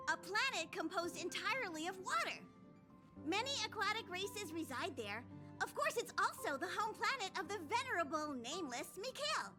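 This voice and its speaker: Give this video game creature a very high, childlike pitch and swooping intonation. It is a small, earnest train conductor making formal announcements in a cute voice, over clear background music. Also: female voice. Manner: speaks of itself in third person, formal and announcing.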